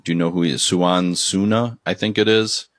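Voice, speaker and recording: A male podcast host with a very deep voice talks casually, stopping and restarting his sentences often. He sounds dry and close to the mic. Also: steady tone, no echo. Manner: halting, many restarts and fillers. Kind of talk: casual interview chat.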